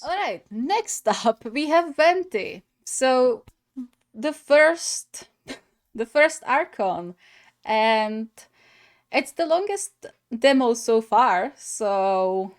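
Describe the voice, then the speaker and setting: A woman hosting a gaming reaction video, commenting casually in an expressive, halting, giggly way. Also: mid-range voice. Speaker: reaction video host, gamer.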